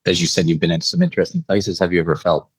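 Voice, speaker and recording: A man from Eastern Canada speaking as an interview guest, telling anecdotes in a conversational, measured and reflective way. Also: low-pitched, sing-song, slightly rough, dry recording. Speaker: interview guest, air traffic controller telling stories.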